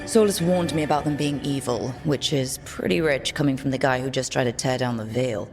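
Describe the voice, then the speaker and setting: An actor voicing a calm, wry elven leader in a fantasy video game, explaining things casually and steadily over music. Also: mid-range voice, fairly quick, gravelly, natural voice. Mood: grave. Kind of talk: video game cutscene dialogue.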